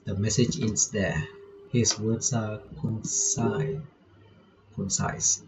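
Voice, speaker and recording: A man doing a visual novel playthrough, reading the text aloud with casual asides, a bit halting and stumbling over words. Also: lowish voice, little pitch movement, darkish tone. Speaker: reaction channel host. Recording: dull lo-fi mic, faint background noise.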